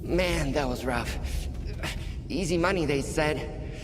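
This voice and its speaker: An actor voicing a shaken, weary stalker in a video game. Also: male voice, fairly high pitch, slow. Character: shaken, weary thug-fighting stalker man. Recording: long hall echo, music behind.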